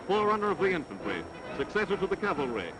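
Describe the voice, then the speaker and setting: A man's voice: high-pitched, big pitch swings, fast talker, noisy background. A man from the United States giving emphatic, stirring scripted narration for a vintage newsreel. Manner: emphatic, stirring announcer delivery. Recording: vintage newsreel soundtrack over loud music. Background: loud music.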